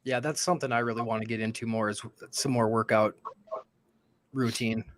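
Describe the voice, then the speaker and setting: A man in his forties talks through a casual livestream chat, reflective and halting, with lots of pauses and fillers. His voice sits at an ordinary middle pitch. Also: fairly even intonation, a bit bright, no room sound. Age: 40s. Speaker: livestream co-host.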